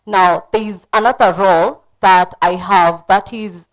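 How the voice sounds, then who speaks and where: A woman's voice: mid-range voice, fairly even intonation, measured pace, rough recording. A woman with a Kenyan accent speaking as a panelist at a conference, giving direct, earnest advice.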